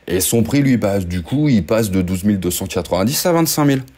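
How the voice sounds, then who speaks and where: A man's voice: lowish voice, animated, a little fast, darkish tone. A man hosting a motorcycle news video, chatting casually with sardonic jokes, gloomy asides and lots of fillers. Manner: sardonic, joking, gloomy gravedigger tone.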